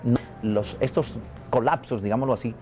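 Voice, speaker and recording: A man's voice: mid-range voice, moderate pace, a little mellow. A man from Venezuela, interviewed about prophecy, speaking in a solemn, repetitive, preaching cadence. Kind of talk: spiritual and prophetic talk.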